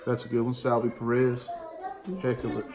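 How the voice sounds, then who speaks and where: A man's voice: medium pitch, moderate pace. A man, casually reading out baseball card names at home. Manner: plain-spoken, slangy, calling out names one by one. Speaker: card collecting channel host. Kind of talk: casual card-opening commentary. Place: dining room at home, indoors. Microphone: camera on a table in front. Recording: home room with steady noise.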